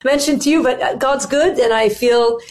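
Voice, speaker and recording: A woman's voice: high-pitched, moderate pace, a little mellow. A middle-aged woman from Lebanon, a doctor and ministry leader, chatting as a radio guest with many fillers and restarts. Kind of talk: casual interview chat.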